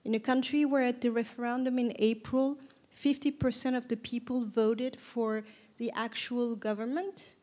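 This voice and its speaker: A woman from Turkey in her thirties, speaking to an audience in a reflective way, with frequent pauses and restarts. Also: on the high side, fairly even intonation, a little mellow. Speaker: panel speaker. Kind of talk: conversational talk to an audience.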